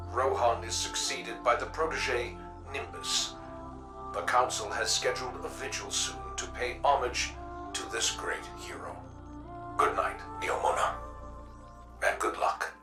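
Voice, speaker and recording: A man plays a formal city announcer in a video game. The solemn, mid-pitched voice echoes from a distance, with music behind it. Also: natural voice. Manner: formal, solemn broadcast delivery. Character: solemn male city announcer reporting a death.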